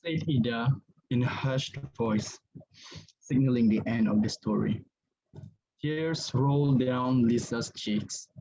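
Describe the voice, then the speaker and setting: A man's voice: medium pitch, takes it slowly, mellow. A man narrating a scripted dramatic reading as its storyteller between the characters' lines. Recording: muffled audio with faint noise.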